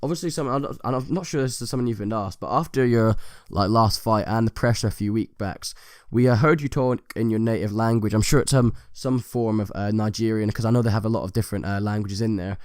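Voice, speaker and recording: A man works as a sports interviewer, asking long, meandering questions. He talks fast in a fairly low voice. The recording is dry, with no echo. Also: a little mellow, smooth. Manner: long, rambling questions with fillers.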